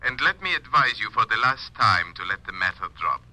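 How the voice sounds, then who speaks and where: Menacing sheik, formal, measured, piercingly bright low voice, animated radio-play delivery. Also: male voice, no room sound. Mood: cold menace. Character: stern, formal Egyptian sheik making veiled threats. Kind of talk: old-time radio drama acting.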